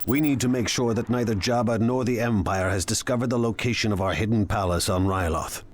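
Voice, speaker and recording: An actor playing a proud, ruthless crime lord in a video game, with an imperious, deliberate, menacing delivery. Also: male voice, on the low side, natural voice. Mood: cold resolve. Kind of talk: dramatic video game acting.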